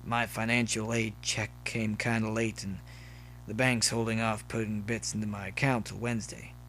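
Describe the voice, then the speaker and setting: A man's voice: fairly low pitch, flat delivery, moderate pace, fairly bright tone, slight background noise. Man voicing a cynical, grumbling young college colt. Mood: weary, sardonic. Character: cynical, drunk young male college earth pony.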